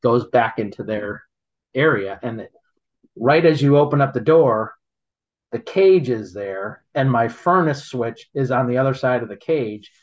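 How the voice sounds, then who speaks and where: A man telling stories in casual chat, halting and rambling, laughing often as he goes. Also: medium pitch, lively intonation, highs cut off. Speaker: guest, local business owner.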